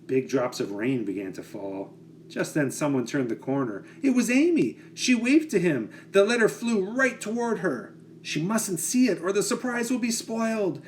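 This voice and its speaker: Animated, higher-pitched man reading a picture book. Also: normal speed, slight background noise. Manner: animated, dramatic phrasing. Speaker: children's book reader. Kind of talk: expressive picture-book narration.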